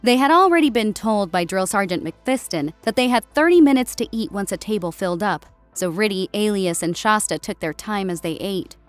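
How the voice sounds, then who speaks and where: A woman's voice: mid-range voice, lively intonation, fairly quick, few pauses, dry recording, faint music behind. A woman reading scripted fiction as an audiobook narrator. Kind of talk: scripted story narration with character dialogue. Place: close, dry recording with music behind.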